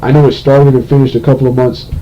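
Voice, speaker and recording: A man delivers an off-the-cuff rant in a flat, even voice at a middling pitch. He stumbles along, doubling back on phrases. The recording is dull and lo-fi, with a faint layer of rumbling noise. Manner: halting, repeats and restarts phrases. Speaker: video creator giving a rant. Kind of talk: unscripted opinion rant about a cartoon.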